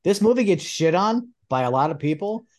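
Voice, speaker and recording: A man's voice: high-pitched, lively intonation, fairly quick, a little mellow. Swearing, laughing podcast guest man. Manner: profane, laughing, enthusiastic. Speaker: co-host guest. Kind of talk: casual chat.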